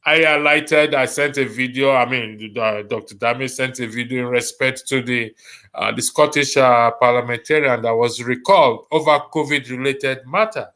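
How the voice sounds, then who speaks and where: Bright-voiced Nigerian man hosting a discussion. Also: medium pitch. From Lagos, Nigeria. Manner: halting, repeats phrases for emphasis. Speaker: host of a diaspora discussion show. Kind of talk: moderating a panel discussion.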